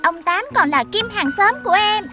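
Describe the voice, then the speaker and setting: A little cartoon girl with a very high, chirpy voice. She presents things eagerly, like a proud younger sister, over prominent music. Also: lively intonation, normal speed. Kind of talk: animated cartoon dubbing.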